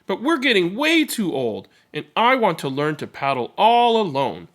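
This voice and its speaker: A man's voice: high voice, wildly swooping pitch, moderate pace, a bit bright, pitched above the performer's own voice, heavily acted. A man voicing an eager six-year-old boy in a storybook, earnest and pleading.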